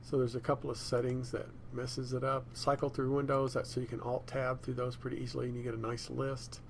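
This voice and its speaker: A man presenting a software tutorial in a casual, unscripted way, thinking aloud as he goes. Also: mid-range voice, flat delivery, brisk, gravelly, slight background noise. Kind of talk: casual screencast demonstration.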